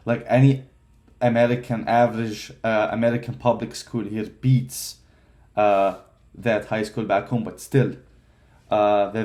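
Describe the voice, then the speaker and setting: A man's voice: on the low side, darkish tone. A man in his twenties from Palestine, telling his life story casually with many fillers and restarts. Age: 20s. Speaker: vlogger.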